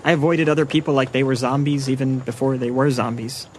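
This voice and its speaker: A man playing a wry young loner narrates a zombie film. His delivery is deadpan and mid-pitched, with faint vehicle noise behind him. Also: fairly quick, close to an everyday voice. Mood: wry, resigned.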